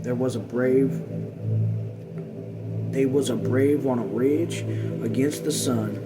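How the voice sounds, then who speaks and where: A man with a medium-pitched voice reads a story aloud as a read-along host. His delivery is halting, with stumbles over words, and he acts out folksy dialogue as he goes. Music plays strongly behind him throughout. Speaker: host reading a novel aloud.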